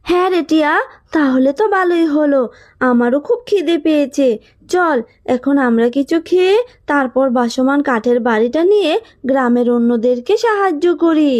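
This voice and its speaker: A woman's voice: high-pitched, animated, moderate pace, a little mellow. A woman voicing a poor, humble housemaid mother with a deferential manner, in a cartoon. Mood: pleased, curious. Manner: pleading, deferential. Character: poor pleading mother, housemaid stranded by flood. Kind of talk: Bengali cartoon dub.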